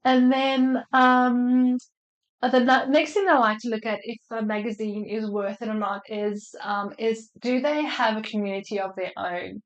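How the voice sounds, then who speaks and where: A woman's voice: on the high side, steady tone, moderate pace, muffled recording. Woman with a flu giving marketing advice. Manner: chatty, loose, lots of fillers and restarts. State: slightly unwell with a flu. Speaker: marketing expert answering audience questions. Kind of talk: casual live Q&A on a call. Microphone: video call.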